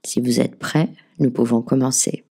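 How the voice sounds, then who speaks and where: A woman leading a guided relaxation as a meditation teacher, giving gentle, soothing, measured instructions. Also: a bass voice, fairly even intonation, gravelly, slightly rough. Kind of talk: guided yoga nidra relaxation narration.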